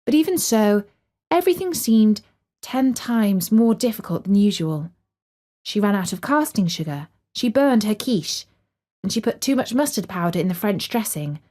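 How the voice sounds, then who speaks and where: A woman's voice: mid-range voice, animated, normal speed, mellow. A woman storyteller reading a scripted story for children.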